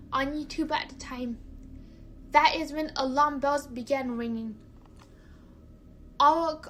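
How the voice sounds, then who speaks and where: A young woman from China narrating a personal story, careful and halting, often repeating words. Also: sits high, a bit bright, a little hiss or hum, low-quality recording.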